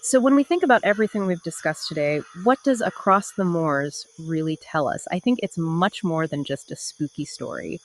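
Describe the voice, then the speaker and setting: Lowish-voiced woman co-hosting a podcast. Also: normal speed, few pauses, dry recording, low-quality recording. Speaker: podcast co-host. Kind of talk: conversational literary discussion.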